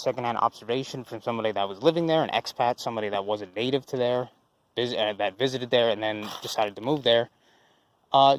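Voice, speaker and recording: A man's voice: medium pitch, smooth, no echo. A young man giving an unscripted commentary response, rambling with many fillers and restarts and swearing casually. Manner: casual and profane. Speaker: channel host.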